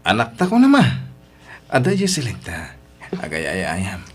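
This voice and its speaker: A man's voice: lowish voice, huge pitch swings, moderate pace, husky, heavily acted. A man acting in an Ilocano radio drama as a polite young boyfriend who is eager to please, with an Ilocano accent. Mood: tender.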